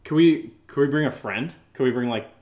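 A man's voice: medium pitch, a little fast, darkish tone, reverberant, a little hiss or hum. A man co-hosting a show with casual, joking banter, thinking aloud in a rambling, hesitant way. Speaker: show co-host.

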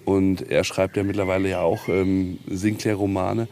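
A man's voice: low-pitched, flat delivery, moderate pace, darkish tone. A man guiding an informal castle tour outdoors, explaining history in a hesitant way with many ums. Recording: noisy outdoor location recording.

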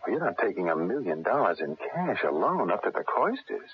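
Playful rookie detective with lawyerly diction, slightly raspy medium voice, vintage radio drama, lo-fi. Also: male voice, deep voice, big pitch swings, a little fast, full character voice. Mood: bantering. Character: bantering male lawyer turned rookie private investigator, good-humored. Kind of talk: 1970s radio drama acting. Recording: dull lo-fi 1970s radio broadcast.

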